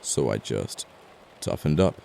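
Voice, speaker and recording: Man acting out a very deep, gravelly, flirtatious killer. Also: expressive, dark tone, slight background noise, heavily acted. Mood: bitter, defiant. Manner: smooth-talking, sardonic, deliberate threats with pauses. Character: amoral, flirty, apologetic male murderer, coolly menacing. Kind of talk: ASMR audio roleplay acting.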